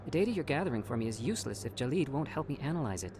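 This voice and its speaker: A man's voice: medium pitch, sing-song, very fast, over music. An actor playing an eager male researcher in a video game, polite and persuasive. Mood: coaxing. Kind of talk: video game character dialogue.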